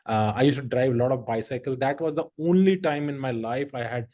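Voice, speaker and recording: A man's voice: medium pitch, brisk, warm tone, muffled recording. Chatty middle-aged man. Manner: rambling, heavy filler use, frequent laughter. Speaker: podcast host. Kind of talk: casual conversational storytelling.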